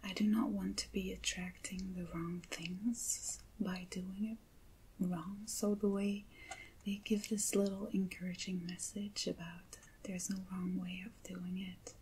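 A woman hosts an ASMR unboxing in a breathy, whispered voice. Her delivery is slow and gentle, with a soft, mellow tone. A faint noise sits behind her voice. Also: lowish voice, level tone, poor audio. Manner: gentle, hushed, unhurried. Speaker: ASMR video host.

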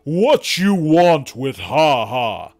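Man acting a gruff, hulking storybook bootlegger. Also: a little high, sing-song, drawn-out words, darkish tone, exaggerated voice. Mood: menacing. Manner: gruff, blunt. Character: gloomy giant scarred man, gruff bootlegging cafe owner.

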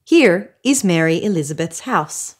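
A woman in her thirties reading a children's story aloud with measured, deliberate phrasing. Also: on the low side, animated, a little hiss or hum. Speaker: storybook reader. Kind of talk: expressive children's read-aloud. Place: small living room, indoors on a sofa.

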